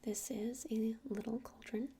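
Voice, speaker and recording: Female, ASMR witch shopkeeper roleplay: close breathy whisper, even and unhurried, slightly hesitant, some fry, faint noise. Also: on the high side. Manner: hesitant, unhurried, soft-spoken. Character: gentle witch minding a crystal shop.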